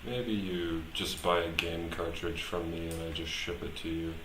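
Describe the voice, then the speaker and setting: A man chatting casually on a live stream while he works at a computer, halting as he thinks out loud. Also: deep voice, flat delivery. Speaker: live streamer, software developer. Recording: echoey room with clear background noise.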